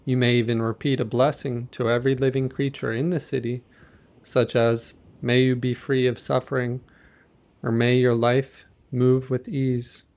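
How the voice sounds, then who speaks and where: A man leading a guided meditation in a gentle, measured, softly instructive manner. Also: medium pitch, level tone.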